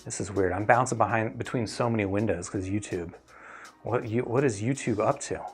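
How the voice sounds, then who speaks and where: A man hosting a casual livestream as a gear reviewer, chatting with viewers in a halting, think-aloud way. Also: on the low side, husky, faint music behind. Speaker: host, audio gear reviewer.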